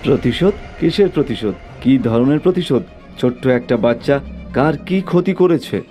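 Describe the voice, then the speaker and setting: Man playing a stern, dark-voiced village exorcist. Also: mid-range voice, animated, moderate pace, faint music behind, voice pushed up, natural voice. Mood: commanding. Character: stern male village exorcist commanding a spirit.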